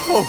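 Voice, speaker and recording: An actor playing a flamboyant, theatrical male entertainer in a video game cutscene, speaking in a showy, grand, sing-song way. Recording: game music behind.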